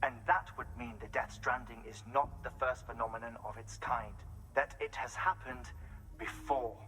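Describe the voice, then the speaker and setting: A man playing an eager, professorial scientist in a video game. His voice is bright and forward, medium in pitch. He lectures articulately and with theatrical emphasis, as if briefing someone by radio. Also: fairly even intonation, moderate pace, slight background noise, close to an everyday voice, poor audio. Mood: absorbed, lecturing. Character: eager, professorial male scientist delivering theories.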